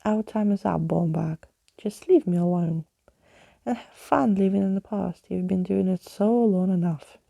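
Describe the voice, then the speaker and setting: Female reader as troublesome imaginary girl for a storybook: sulky, blunt, defiant, dark husky tone. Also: medium pitch, moderate pace, dry recording, muffled recording. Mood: icy anger.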